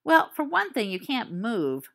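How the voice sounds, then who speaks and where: A woman reading the lines of an injured teenage werewolf boy who speaks softly and wryly, playing down his pain. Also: a little high, very animated, unhurried, creaky, pitched above the performer's own voice, heavily acted. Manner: soft, wry, understated.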